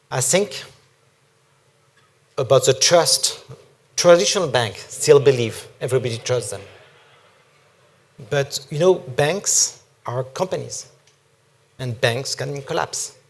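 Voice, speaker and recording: A man from France with a strong French accent, speaking deliberately as a panelist in a forum discussion. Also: medium pitch. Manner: deliberate, emphatic, speaks slowly.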